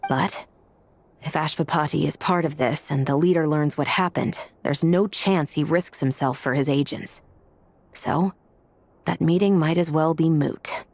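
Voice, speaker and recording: A woman's voice: low voice, unhurried, a little mellow, no echo. A woman playing a serious, dutiful law officer in a video game cutscene, with a sober, businesslike manner.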